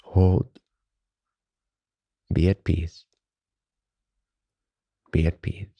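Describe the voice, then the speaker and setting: A man leading a guided meditation as a teacher, speaking softly, slowly and calmly in reverent phrases with long pauses. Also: low voice, gravelly, dry recording, highs cut off. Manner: calm, unhurried, reverent spiritual phrasing.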